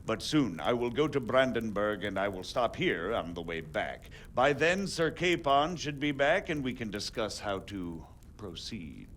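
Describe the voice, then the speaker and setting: An actor playing a composed, authoritative high nobleman in a medieval video game, speaking formally and deliberately. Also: sing-song, a bit bright, a little hiss or hum.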